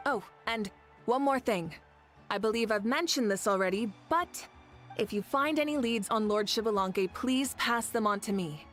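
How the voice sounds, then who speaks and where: High female game leader, rousing and oratorical, music underneath. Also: lively intonation, normal speed, a bit bright. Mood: composed, instructive. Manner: formal, oratorical. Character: commanding, rousing female leader addressing her warriors. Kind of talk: video game voice acting.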